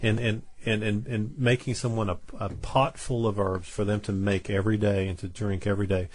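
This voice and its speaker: A male Chinese medicine practitioner on a radio talk show with a Southern American accent, speaking haltingly with many repeated words while telling anecdotes. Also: on the low side, little pitch movement, a little mellow, husky.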